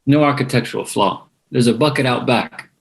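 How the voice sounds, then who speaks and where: A man's voice: medium pitch, fairly quick, creaky, lightly acted. A man playing a friendly, joking, easygoing suitor at a screenplay table read. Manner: casual, easygoing.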